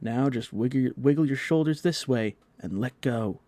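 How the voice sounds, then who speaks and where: A man's voice: mid-range voice, moderate pace, dark tone, no room sound, voice pushed up, close to an everyday voice. A carefree, boastful boy from a storybook acted by a male narrator. He sounds breezy and very pleased with himself, in a voice lifted above a man's usual talk. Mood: breezy, instructive.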